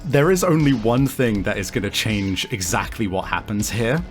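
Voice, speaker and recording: A man improvising tabletop game narration as game master, describing things vividly and dramatically in a halting way. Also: lowish voice, soft background music.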